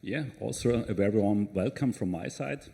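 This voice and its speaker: Austrian man with a dark, low voice. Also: moderate pace, creaky, husky. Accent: Austrian German. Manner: hesitant, searching for words. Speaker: panel speaker. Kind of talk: conference talk. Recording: reverberant conference hall.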